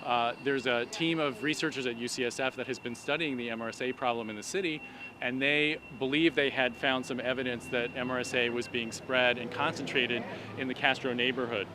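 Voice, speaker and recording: A man speaking as a doctor and activist, explaining an issue in measured, articulate phrases during a street interview. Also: medium pitch, steady tone. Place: outdoors on an urban street at a protest. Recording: noisy city street with traffic. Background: street and traffic noise.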